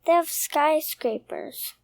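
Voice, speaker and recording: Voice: sits high, slow, creaky, no room sound, studio quality, full character voice. A young performer playing a shy, anxious six-year-old boy in a radio soap, polite, hesitant and earnest. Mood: earnest, unsure.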